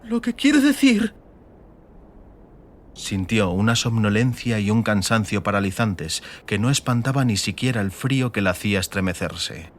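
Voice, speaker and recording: A man reading scripted dramatic audiobook narration in a measured, literary manner. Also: lowish voice. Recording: faint ambient noise behind.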